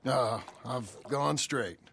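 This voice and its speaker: A man playing a gruff, laconic reformed outlaw in a Western video game, gravelly, terse and dry. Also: mid-range voice, takes it slowly, a bit bright, a little hiss or hum. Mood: wary, dry. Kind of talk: video game cutscene acting.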